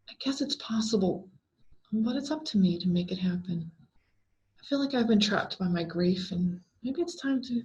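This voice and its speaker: A woman's voice: medium pitch, darkish tone, lightly acted. A woman voicing a frightened, grieving woman in a horror story, talking to herself hesitantly and anxiously. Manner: hesitant, anxious self-talk. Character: frightened, grieving woman trapped in a haunted house. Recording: dull, lo-fi sound.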